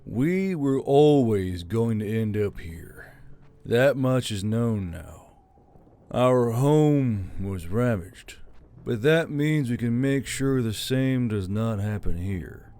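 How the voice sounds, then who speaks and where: A man's voice: medium pitch, expressive, slow pace, warm tone. Guy doing a tired stern army leader, medium voice, heavy with guilt, fantasy audiobook. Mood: regretful, resolute. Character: weary, stern male militia leader carrying guilt. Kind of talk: audiobook drama acting.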